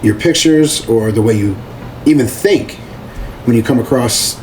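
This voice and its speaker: A man's voice: medium pitch, measured pace, darkish tone, slight background noise, low-quality recording. A man hosting a podcast, asking questions in an easygoing way with frequent fillers and restarts. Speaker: podcast host, interviewer. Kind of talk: casual interview.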